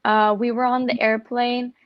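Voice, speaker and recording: A teenage girl from Turkey speaks in a flat, steady tone with a fairly high voice. The audio is dry and dull, like a lo-fi call. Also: normal speed, no echo. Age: teens. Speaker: student panelist.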